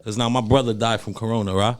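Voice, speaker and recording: Fast-talking man as podcast guest. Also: on the low side. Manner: animated, insistent, repeating himself. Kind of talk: casual podcast chat.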